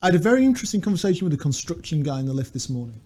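A man's voice: a little high, sing-song, rapid-fire, dark tone, breathy edge. A man co-hosting a podcast, reflective and storytelling, reading quotes into a studio mic. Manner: reflective, storytelling, laughs easily. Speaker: podcast co-host. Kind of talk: casual chat, reading quotes. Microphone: studio mic with pop filter.

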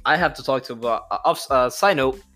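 A Dominican-born man co-hosting a casual podcast, loud, profane and joking, laughing often. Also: mid-range voice, a little fast, faint music behind. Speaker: podcast co-host.

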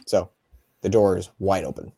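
Low-voiced man in dry, close sound. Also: normal speed, creaky, warm tone, husky, no echo. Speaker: fantasy football analyst on a panel. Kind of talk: casual sports debate.

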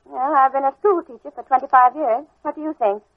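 A woman playing a prim, imperious middle-aged New England schoolteacher with an American accent, brisk and unflappable, in old-time radio drama style. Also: high-pitched. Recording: tinny, band-limited vintage radio recording.

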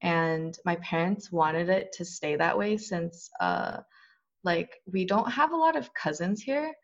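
A woman's voice: on the low side, expressive, a little slow, highs cut off. A young woman chatting casually with friends, saying "like" often and laughing easily. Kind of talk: casual chat.

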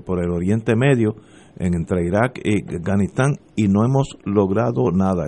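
A man's voice: lowish voice, darkish tone. A man hosting a talk radio show, giving political commentary with emphatic rhetorical questions and frequent restarts.

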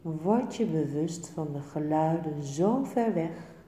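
A woman teaching yoga nidra, giving guided relaxation instructions in a calm, soothing, measured way with pauses between short phrases. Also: low-pitched. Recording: echoey room with long reverb.

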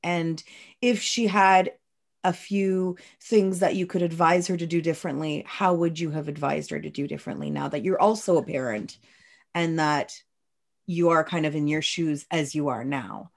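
A woman hosting a podcast, interviewing her guest carefully and gently, and often stopping to clarify points. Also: deep voice, normal speed, vocal fry. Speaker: podcast host.

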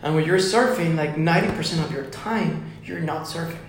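A young man from Ecuador, a musician guest chatting casually on a podcast, excited and saying "dude" often. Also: on the high side, fairly quick, a little hiss or hum. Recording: very echoey room.

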